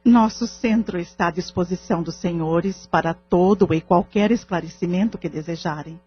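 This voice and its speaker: A woman's voice: fairly low pitch, normal speed, slightly rough. A Brazilian woman playing a humble, devout medium in an audio drama, teaching in a patient, formal way. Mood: earnest, instructive. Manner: didactic, formal. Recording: dull lo-fi audio with music underneath.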